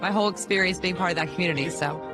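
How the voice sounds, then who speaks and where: A woman's voice: lowish voice, very fast, over music. A woman talking as a guest author and educator in a casual, upbeat, chatty way, with lots of "like" fillers, at an education conference. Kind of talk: casual interview chat.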